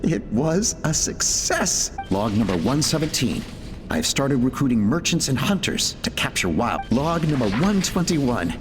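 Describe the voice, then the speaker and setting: An earnest, driven game inventor narrating his research logs. His delivery is animated and declaiming, washed in long reverb with faint music underneath. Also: medium pitch, measured pace. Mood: determined. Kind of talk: scripted video game monologue.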